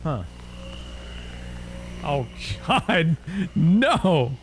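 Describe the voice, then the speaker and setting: A man hosting a reaction video, giving casual commentary with muttered asides, quick exclamations and chuckles. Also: a little high, very animated, a little hiss or hum.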